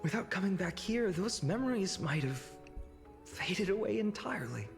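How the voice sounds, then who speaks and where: A dashing young man in a video game, light and nostalgic. His pitch moves a lot. A long echo trails his voice, with faint music behind. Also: lively intonation, normal speed. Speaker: game character.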